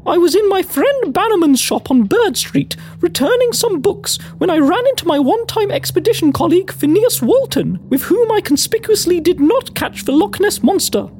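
A prim British lady adventurer of the Victorian age, acted by a woman, speaks in a very high, lilting voice. She is grandiloquent and self-important, as if reading her occult logbook for a radio play. There is faint noise behind her. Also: sing-song, moderate pace, hardly any pauses, darkish tone. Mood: measured, self-important. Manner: formal, grandiloquent, theatrical. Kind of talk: scripted first-person audio drama narration.

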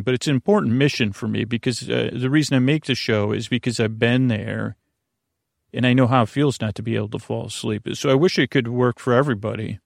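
Creaky-voiced man, rambling and halting. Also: mid-range voice, no room sound, studio quality. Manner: meandering, halting, often self-interrupting. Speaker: podcast host. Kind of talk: rambling monologue for a sleep podcast.

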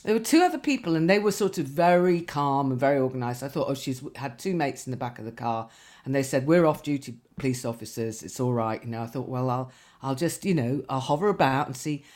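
A woman's voice: very deep voice, animated, quick pace, a little mellow. A woman, a podcast co-host telling rambling, sweary anecdotes in casual chat, her nose slightly stuffy.

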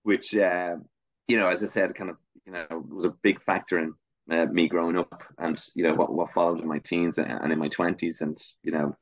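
A middle-aged man with a Dublin accent speaks in a very deep voice. He talks haltingly, full of ums and restarts as he thinks back. The sound is dry and a little dull. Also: flat delivery, no echo. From Ireland. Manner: hesitant, many fillers and restarts. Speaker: guest filmmaker. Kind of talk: reflective interview answers. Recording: muffled lo-fi sound.

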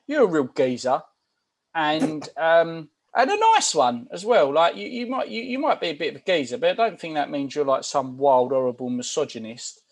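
A British man with a fairly high voice, teasing his co-host with loose, jokey podcast chatter. He mocks his friend in a relaxed, conversational way. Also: moderate pace. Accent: British English. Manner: joking, mocking. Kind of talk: casual banter.

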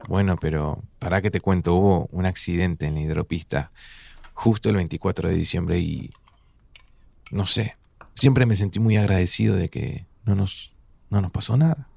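Older argentine dad, gravelly low voice, tender and nostalgic, dry studio sound. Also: male voice, moderate pace, no room sound. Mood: wistful. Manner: gentle, wistful, reflective. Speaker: father. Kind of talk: audio drama acting.

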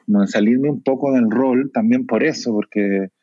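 A Chilean novelist in his forties with a Chilean Spanish accent, speaking thoughtfully, with many pauses and fillers. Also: mid-range voice, no echo, lo-fi sound. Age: 40s. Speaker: novelist guest. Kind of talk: reflective conversation.

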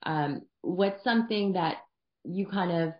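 A woman's voice: lowish voice, measured pace. A woman hosting a podcast, asking questions in a chatty, conversational way with some fillers. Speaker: podcast host. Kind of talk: interview questions. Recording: dull sound in an echoey room.

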